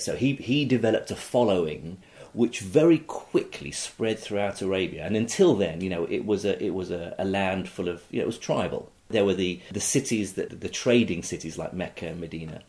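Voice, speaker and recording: A man's voice: low-pitched, warm tone. A man who grew up in Iraq, an author being interviewed, explaining at length with many fillers and restarts. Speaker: author, guest. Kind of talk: interview conversation.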